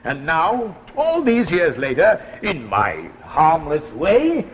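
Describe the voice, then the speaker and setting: A smug, eccentric old professor in a vintage radio play. His highish voice swoops widely and pompously through a dull, reverberant old recording. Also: huge pitch swings, takes it slowly, exaggerated voice. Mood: gloating. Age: older. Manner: pompous, theatrical, savoring phrases. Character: retired physics professor, older man, smug eccentric inventor. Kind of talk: 1950s radio drama acting.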